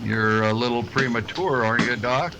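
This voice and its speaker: A man playing a weary, plain-spoken lawman in a radio western, terse and deadpan. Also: medium pitch, normal speed, heavy background noise, lightly acted. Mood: dry, resolved. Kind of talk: old-time radio western acting.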